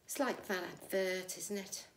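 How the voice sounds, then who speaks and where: A woman's voice: mid-range voice, unhurried, slight background noise, lightly acted. A woman acting a jaded middle-aged housewife in a wry, confiding, gossipy comic monologue. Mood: mocking, amused. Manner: wry, confiding, gossipy, with rhetorical tag questions. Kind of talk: conversational comic stage monologue. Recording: echoey room.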